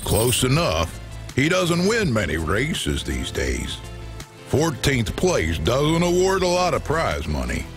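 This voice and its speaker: A man playing a gruff old hovercar mechanic in a video game, laconic and plain-spoken. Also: mid-range voice, wildly swooping pitch, slow, music in the background, full character voice. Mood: wry. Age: older. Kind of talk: video game dialogue acting.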